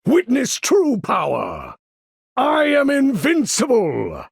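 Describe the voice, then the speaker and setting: A proud older mutant commander in a video game, slow and deliberate, with a husky rasp. He speaks with formal, theatrical grandeur and lofty disdain, swinging widely in pitch. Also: huge pitch swings, no room sound, clean studio sound, heavily acted. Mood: commanding, defiant. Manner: formal, theatrical, measured, condescending delivery. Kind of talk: video game character voice lines.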